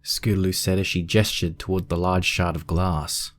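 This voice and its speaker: A man's voice: deep voice, little pitch movement, moderate pace. A man reading scripted story narration as the narrator of a piece of fan fiction.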